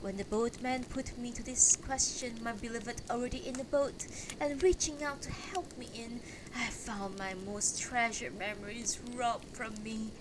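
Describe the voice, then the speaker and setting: Lady doing a bitter ghost widow in rags, high raspy taunts, storybook style, noisy recording. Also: measured pace, lightly acted. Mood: bitter lament. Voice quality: raspy, parched. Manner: taunting, lamenting, declamatory.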